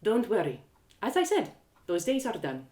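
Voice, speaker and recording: A frank, worldly ex-thief woman from a fantasy audio drama, acted out by a woman reader. She talks in a knowing, probing way, with pitch that swings freely around a medium register. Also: huge pitch swings, moderate pace, mellow. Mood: confessing. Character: frank, inquisitive former woman thief, hardened village storyteller.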